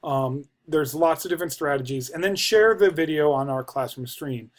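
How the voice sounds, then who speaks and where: An American man in his forties explaining a course informally as an instructor, thinking aloud with pauses, recorded on a computer mic in a small office. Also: on the high side. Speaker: university instructor. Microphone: computer microphone at desk distance.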